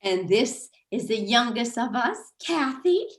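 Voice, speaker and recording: A woman's voice: fairly high pitch, big pitch swings, unhurried. A woman playing a gushing, catty suburban housewife in a satirical staged play reading, with exaggeratedly chatty politeness. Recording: roomy echoing video call audio.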